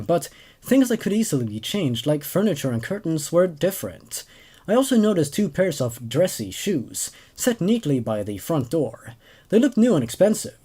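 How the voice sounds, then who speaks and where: A young man narrating a story as host, reading expressively and in a measured way with dramatic pauses. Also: on the high side, mellow, slightly rough. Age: young adult. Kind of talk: story narration with casual commentary.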